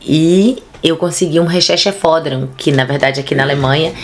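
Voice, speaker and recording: A woman's voice: deep voice, soft background music. A Brazilian woman in her thirties with a Brazilian Portuguese accent, chatting animatedly as a podcast guest. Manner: animated, fast bursts of speech. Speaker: podcast guest, theater artist. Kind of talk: casual conversation.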